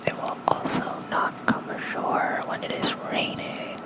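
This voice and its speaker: An ASMR creator softly whispering a bedtime lore reading with casual asides, gentle and breathy, close on a fluffy-covered mic. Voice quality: soft breathy unvoiced whisper. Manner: slow, gentle, unhurried.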